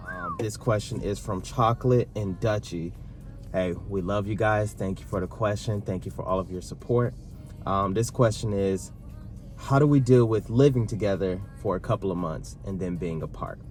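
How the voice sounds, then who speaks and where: A man in his thirties co-hosting a casual sit-down Q&A with his partner, talking in a relaxed, rambling way. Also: low voice, normal speed, faint music behind. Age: 30s. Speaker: vlog co-host.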